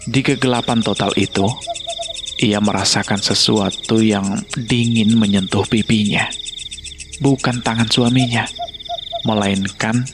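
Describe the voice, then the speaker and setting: A man's voice: fairly low pitch, fairly even intonation, a little slow, pauses often, over music. A man narrating a scripted horror story in a dramatic way.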